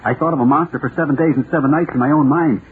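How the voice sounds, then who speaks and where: A man's voice: on the high side, racing, lightly acted. An actor playing a distraught accused man in an old radio drama, pleading intensely that a monster is real, on a muffled, noisy recording. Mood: haunted, earnest. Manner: pleading, intense, rising into hysteria.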